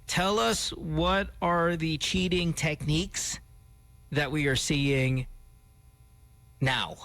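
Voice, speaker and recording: Male radio host. Chatty and joking, drops Tagalog phrases. Fairly high voice, dry studio sound. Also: steady tone, slow, bright, forceful tone, no room sound. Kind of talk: casual morning radio chat.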